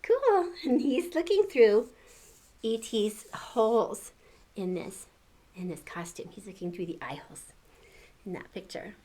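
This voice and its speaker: A woman's voice: medium pitch, lively intonation, measured pace, warm tone. An older woman reading a storybook aloud to children, expressively, with exclamations and questions to the listener.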